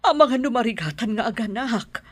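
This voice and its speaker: A woman with an Ilocano Filipino accent acting a concerned older mother in a radio drama, with a husky voice. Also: fairly high pitch, animated, a little fast. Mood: caring, concerned. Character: concerned, caring older mother of grown children.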